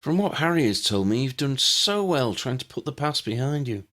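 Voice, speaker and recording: A man's voice: mid-range voice, sing-song, normal speed, fairly bright tone, voice pushed up. A man voicing a fiery, affectionate young woman who frets and gives orders in an audiobook. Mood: sympathetic. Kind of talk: audiobook character dialogue.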